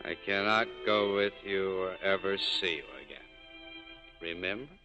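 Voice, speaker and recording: An actor playing a bitter, sardonic, wounded American cafe owner in a 1940s radio drama. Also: male voice, deep voice, fairly even intonation, moderate pace, muffled recording, lightly acted. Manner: sardonic, clipped, world-weary. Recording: 1940s radio broadcast, faint music.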